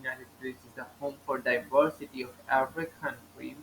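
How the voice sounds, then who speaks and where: A man's voice: medium pitch, flat delivery, a little fast, vocal fry, fairly bright tone, slight background noise, poor audio. A man born in Italy narrating a casual geography video over maps, rambling and leaning heavily on "you know" with frequent grammar slips.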